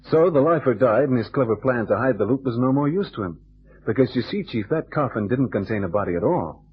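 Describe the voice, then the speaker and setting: An actor playing a tough, quick-witted private detective who talks in clipped slang, in old-time radio drama style. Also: male voice, mid-range voice, breathy edge. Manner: clipped tough-guy slang, calls partner baby. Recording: dull, noisy vintage radio recording with hum.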